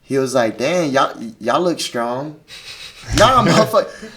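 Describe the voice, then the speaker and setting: A man's voice: medium pitch, very animated, a little slow, pushed and bright. A man, not an English speaker, hosting a casual chat in slangy, profane speech. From Mississippi, United States. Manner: slangy, profane, says "bro" often. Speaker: podcast host.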